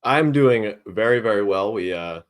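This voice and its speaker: Deep-voiced guy from new england, laid back podcast cohost. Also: expressive, normal speed. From New England, United States. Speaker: podcast co-host. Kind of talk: casual sports podcast chat.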